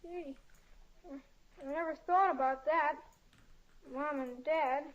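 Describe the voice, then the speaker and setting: A woman's voice: high voice, takes it slowly. A woman playing a frightened, street-tough young boy with slangy, stammering speech in an old-time radio drama. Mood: softening, earnest. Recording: dull lo-fi old radio recording.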